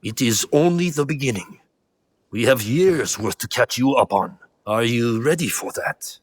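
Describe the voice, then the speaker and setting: Seasoned male ninja mentor, breathy rough tone, encouraging, fatherly, game drama. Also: mid-range voice, lively intonation, a little slow, dry recording. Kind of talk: video game cutscene acting.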